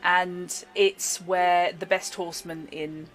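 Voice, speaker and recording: A woman narrating as game master in a chatty, explanatory way, with fillers and quick laughs. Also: lowish voice, flat delivery, a bit bright, low-quality recording. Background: background music.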